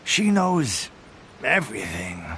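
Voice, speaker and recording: A man's voice: mid-range voice, very animated, takes it slowly, pushed and bright, a little hiss or hum, heavily acted. A man playing a sleepy, laid-back loner soldier in a video game, with a languid, aloof, drawling delivery. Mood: drowsy.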